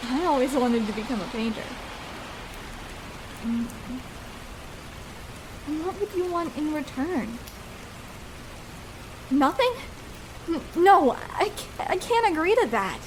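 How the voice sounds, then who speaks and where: A woman's voice: sits high, background noise. A young woman playing a shy, vulnerable escort in an intimate ASMR roleplay, speaking hesitantly and tenderly with small stammers.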